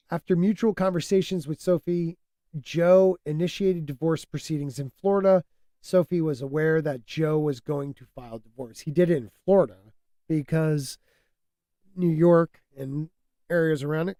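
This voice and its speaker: A man hosting a casual podcast, stuffed up and losing his voice, talking in an animated, opinionated way and laughing often. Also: on the high side, no room sound, studio quality. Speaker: podcast host.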